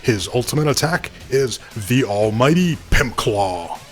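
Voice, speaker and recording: A man plays a male play-by-play caller for cartoon wrestling. His announcer-style voice is middling in pitch, with light music underneath. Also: expressive, a little slow, close to an everyday voice. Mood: engaged. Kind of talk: wrestling commentary.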